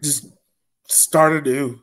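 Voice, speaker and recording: A man in his thirties hosts a relaxed sports discussion on a video call. His delivery is conversational and a little hesitant, often doubling back on his own phrases. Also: fairly high pitch, takes it slowly. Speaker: podcast host. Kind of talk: casual sports podcast chat. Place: indoor room on a video call.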